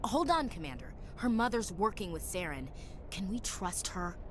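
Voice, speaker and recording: A woman's voice: medium pitch, very animated, moderate pace, natural voice. Blunt, suspicious soldier with faint background noise woman acting. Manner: blunt, sceptical. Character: tough, suspicious female human soldier squadmate. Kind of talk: video game voice acting.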